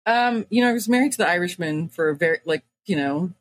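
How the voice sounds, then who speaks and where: A woman's voice: on the low side, expressive, quick pace, dry recording. A woman co-hosting a podcast, chatting casually and rambling with lots of "like.". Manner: rambling, heavy use of "like". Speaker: podcast co-host. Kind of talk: casual chat.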